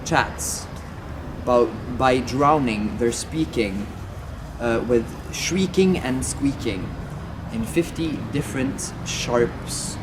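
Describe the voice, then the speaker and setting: A man reads verse aloud at a slow, deliberate pace, occasionally tripping on a phrase. His pitch is average, and clear vehicle noise runs behind the recording. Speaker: amateur reader. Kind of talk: verse recitation. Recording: noisy location, vehicle sounds.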